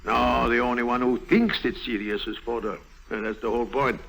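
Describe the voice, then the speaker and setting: An actor playing a pompous, jealous, gullible middle-aged bank official in a theatrical 1950s radio drama style. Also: male voice, mid-range voice, normal speed, creaky, husky, rough recording. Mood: jealous, earnest. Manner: theatrical, fussy, self-important, excitable. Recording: old 1950s radio broadcast recording.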